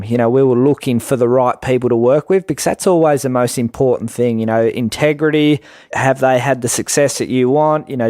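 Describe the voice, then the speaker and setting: A man with a medium-pitched voice, recorded close and dry, talks as an expert guest. He offers advice in a conversational interview style. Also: darkish tone, no echo.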